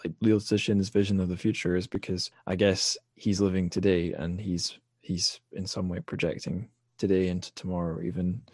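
Male podcast host, low voice, close dry recording, thoughtful conversational delivery. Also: flat delivery, moderate pace, hardly any pauses, a little mellow, no room sound. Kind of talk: casual discussion of books and film.

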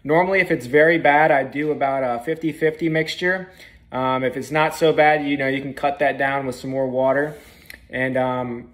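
A young man in his twenties talks straight into a handheld phone from an indoor room, explaining how he runs his pressure washing jobs. His voice sits slightly high for a man, and his delivery is easygoing and unpolished, dotted with ums and uhs. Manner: relaxed, plain-spoken, frequent ums and uhs. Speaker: pressure washing business owner. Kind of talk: casual how-to vlog. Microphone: phone camera at arm's length.

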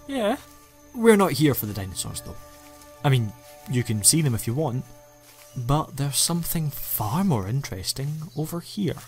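A man's voice: medium pitch, sing-song, moderate pace, darkish tone, soft background music. An actor playing an eccentric, ancient male alien time traveller, enthusiastic and playfully self-mocking, in a fan audio drama. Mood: explaining.

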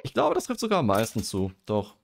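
Young male streamer, low voice, joking, casual chat at the desk mic. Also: huge pitch swings, a little fast, creaky. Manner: joking, reads quiz questions aloud and muses over answers. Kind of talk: casual livestream chat while gaming.

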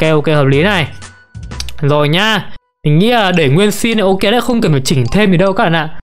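A young woman giving casual, playful game commentary to her viewers, giggly and often repeating words in quick bursts. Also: mid-range voice. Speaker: gaming video creator. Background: music.

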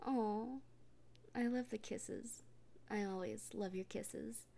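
Slow whispery woman with gravelly fry. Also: medium pitch, a little hiss or hum. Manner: soft, often whispered, gently reassuring. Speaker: roleplay performer speaking as a partner. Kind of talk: ASMR comfort roleplay.

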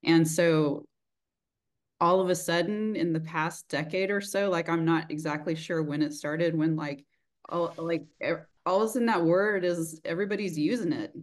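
Middle aged lady w a light texas accent, chatting informally on a video call, kinda thinking out loud. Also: on the low side, steady tone, normal speed. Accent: mild Texan. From rural Texas, United States. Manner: reflective, informal, frequent "like". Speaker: interviewer, host. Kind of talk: casual chat. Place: small indoor room, video call. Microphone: video call, desk distance.

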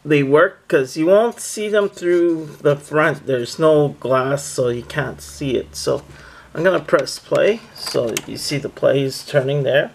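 A man casually demonstrating a product hands-on, narrating step by step in a plain, halting way. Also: gravelly. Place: indoors, close tabletop setup. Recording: music from a boombox behind the voice. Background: loud music from the boombox.

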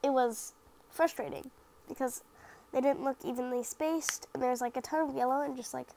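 High-voiced stuffy young woman rambling. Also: fairly even intonation, moderate pace, creaky, a little mellow, slight background noise. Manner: rambling, self-critical, lots of "like" and fillers. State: slightly stuffy from the sniffles. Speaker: craft vlogger. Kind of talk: casual voice-over narration of a craft video.

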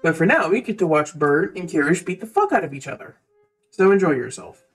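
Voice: mid-range voice, big pitch swings, brisk, faint music behind. A roleplayer voicing a stern, dismissive fantasy warrior in a tabletop game.

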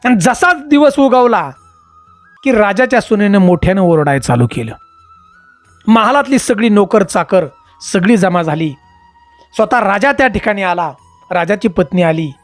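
Animated storyteller over music. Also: medium pitch, no room sound. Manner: emphatic, repeats phrases, addresses listeners as friends. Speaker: storyteller, channel host. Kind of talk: moral storytelling narration.

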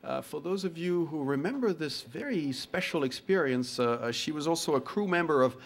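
A man hosting an event and giving a formal introduction, halting with frequent hesitations. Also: a little high, expressive. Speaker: event host introducing a speaker. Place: event at an institutional venue, live speech.

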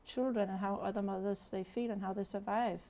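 A woman's voice: medium pitch, fairly even intonation, a little fast, dark tone. A woman from Denmark with a Danish accent, speaking as a guest in an earnest studio interview, with many fillers and restarts. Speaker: charity founder, guest. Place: TV studio set.

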